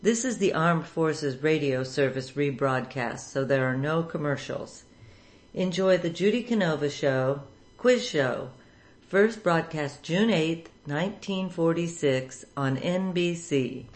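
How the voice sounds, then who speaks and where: A woman's voice: low-pitched, a little slow. A woman hosting a radio programme, reading a scripted introduction. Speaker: radio show host.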